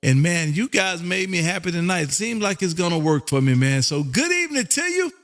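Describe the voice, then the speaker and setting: An exuberant, folksy American man with a gravelly voice, chatting casually on air as a ham radio host and repeating his catchphrases. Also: high voice, sing-song, a little fast, fairly bright tone. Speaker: amateur radio operator and livestream host.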